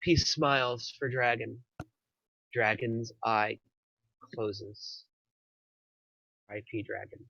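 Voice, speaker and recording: Bright-voiced man narrating, slightly dull audio. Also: mid-range voice, steady tone, slow, creaky, pauses often, no room sound. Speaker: narrator reading stage directions. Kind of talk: scripted screenplay narration.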